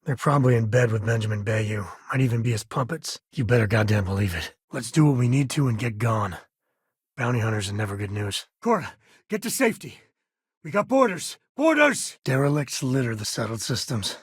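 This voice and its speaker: Video game role: wry frontier spacefarer and father, middle-aged, mid-pitched, slightly rough husky voice, colloquial and easygoing. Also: lively intonation, a little fast, no echo, clean studio sound. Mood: urgent. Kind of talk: video game character dialogue.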